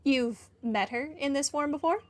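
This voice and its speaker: A woman's voice: high voice, moderate pace. A woman hosting a game playthrough, commenting casually and reading player lines aloud in a wry, conversational way. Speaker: gaming playthrough host.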